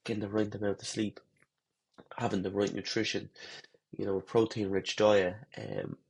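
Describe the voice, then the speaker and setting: A man with a head cold, congested and slightly husky, giving runners a relaxed, rambling talk-to-camera lesson with fillers and restarts. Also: fairly low pitch, moderate pace, a little hiss or hum. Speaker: running coach.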